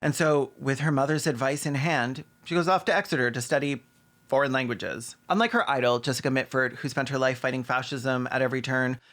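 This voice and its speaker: A man's voice: mid-range voice, fairly quick, a bit bright. A man hosting a video essay with snarky, mocking, sometimes sweary scripted commentary and asides.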